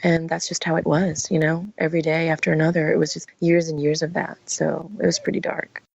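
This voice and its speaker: A woman in her forties from Vietnam with a low, gravelly voice. She talks earnestly and reflectively, pausing on fillers now and then. The sound is dry and slightly muffled, like a lo-fi remote recording. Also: level tone, a little mellow, no echo. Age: 40s. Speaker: guest. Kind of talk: interview answers.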